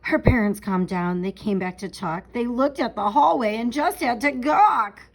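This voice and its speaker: A woman reading a rhyming children's picture book aloud in an expressive, theatrical way, stretching out key words for emphasis. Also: fairly high pitch, moderate pace. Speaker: storybook reader.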